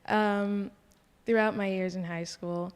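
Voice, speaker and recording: A female student musician talks slowly and casually on a panel, giggling often between thoughts. A long hall reverb rings behind her. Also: mid-range voice, fairly even intonation, clean tone. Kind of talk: live panel discussion. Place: large hall.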